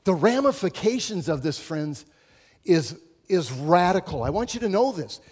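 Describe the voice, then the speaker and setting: A man preaching to his congregation in a somewhat high voice. He presses his points with rising emphasis and then settles into calm, explanatory teaching. He addresses the listeners directly as friends. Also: moderate pace, breathy edge. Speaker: pastor. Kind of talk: sermon.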